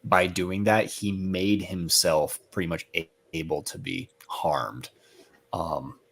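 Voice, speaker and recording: A man's voice: deep voice, fairly even intonation, slow pace, gravelly, a bit bright. A man co-hosting a casual book discussion, talking in an easygoing, informal way. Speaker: co-host.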